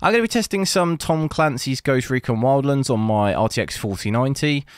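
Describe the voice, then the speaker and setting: A man with a hoarse, lost voice, hosting a PC gaming channel and commenting casually while he plays, thinking aloud. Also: mid-range voice, fairly quick, dry recording, clean studio sound. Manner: relaxed, rambling, thinking aloud. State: hoarse, losing his voice. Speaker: PC gaming benchmark channel host.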